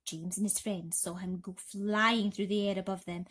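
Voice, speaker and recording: A woman narrating a bedtime storybook expressively and dramatically, slowing down for suspense. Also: mid-range voice, steady tone, slightly rough. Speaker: narrator reading bedtime stories.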